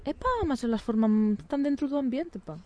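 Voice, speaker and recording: A woman's voice: on the high side, brisk, dark tone, dull audio, a little hiss or hum. A woman who learned Portuguese abroad, speaking in a casual, laughing chat outdoors by a windmill. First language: not Portuguese. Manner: laughs often, chatty, self-deprecating. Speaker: guest.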